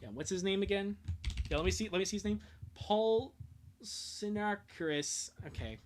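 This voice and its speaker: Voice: medium pitch, normal speed, a little hiss or hum. A streamer reading fan fiction live, breaking off for irreverent, sweary asides in a casual, joking way.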